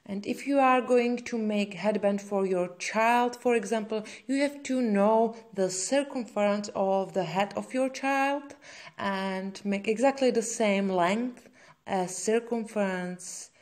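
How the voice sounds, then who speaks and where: Female craft tutorial host, native Czech speaker with Czech accent; unhurried, mid-pitched, simple hesitant wording. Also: darkish tone. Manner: simple, hesitant non-native English phrasing. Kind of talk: spoken step-by-step craft tutorial.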